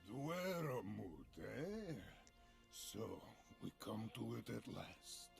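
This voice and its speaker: A man voicing a weary, brooding dwarf leader in a fantasy game, creaky and musing, with music behind. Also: fairly low pitch, sing-song, voice pushed down, heavily acted. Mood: grave, musing. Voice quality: creaky, gravelly. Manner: drawn-out, musing.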